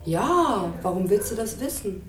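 An actress playing a young squatter who gives curt, clipped, evasive answers. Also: female voice, mid-range voice, big pitch swings, measured pace. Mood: suspicious. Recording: echoey room, faint noise.

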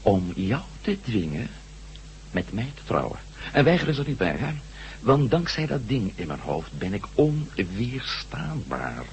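Wry, commanding space adventurer for radio drama; lively, swinging intonation, brusque delivery, faintly hissy old recording. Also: male voice, fairly low pitch, a little slow, vocal fry, full character voice. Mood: wry, teasing. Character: adventurous ex-astronaut hero, man, weary, wry, commanding.

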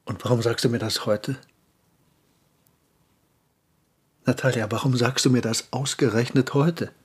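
Guy playing guilty wartime scientist, husky, terse and guarded, radio play acting. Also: mid-range voice, fairly even intonation, brisk, close to an everyday voice. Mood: stunned, reproachful.

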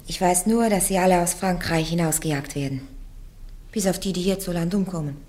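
A woman's voice: fairly low pitch, fairly quick, a little mellow, close to an everyday voice. An actress playing a young peasant girl soldier on trial, answering bluntly and defiantly in a classic radio play. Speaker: accused on trial.

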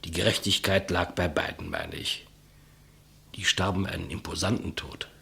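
An actor playing a humble, despised executioner in a 1950s German radio play, deferential, plain-spoken and reflective. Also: male voice, deep voice, wildly swooping pitch, moderate pace, vocal fry, sharp tone, slightly rough, exaggerated voice. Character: humble, despised old-school male executioner, quietly matter-of-fact. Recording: muffled vintage 1959 radio recording.

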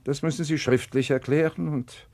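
An actor playing a formal, skeptical public prosecutor in a 1960s German radio drama, measured and dryly bureaucratic. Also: male voice, fairly high pitch, steady tone, a little mellow, no room sound, lightly acted. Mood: dry, official.

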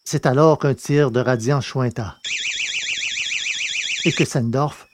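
Man reading a novel aloud, steady. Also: mid-range voice, slow, very noisy. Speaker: audiobook narrator. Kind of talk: scripted narration of a science-fiction novel.

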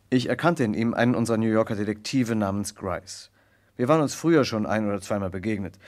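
An actor playing an earnest young American lawyer who narrates a murder case in a measured, precise way in a vintage German radio play. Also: male voice, on the low side, close to an everyday voice.

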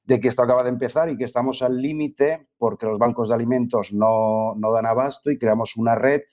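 A man's voice: mid-range voice, steady tone, a little fast, darkish tone. A man explaining his neighbourhood association's work as a guest in a radio interview.

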